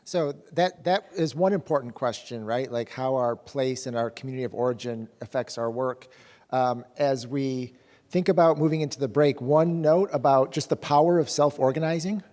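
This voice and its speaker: A man in a measured, reflective tone guiding a workshop group into a break. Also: medium pitch, mellow. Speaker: program director. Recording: hall reverb from a room mic.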